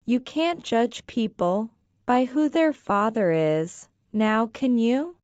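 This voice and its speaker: A woman language teacher slowly repeating scripted lines for shadowing practice, enunciating each one with care. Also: a little high, expressive, darkish tone, clear, resonant tone, no room sound, lo-fi sound. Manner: careful, deliberate enunciation.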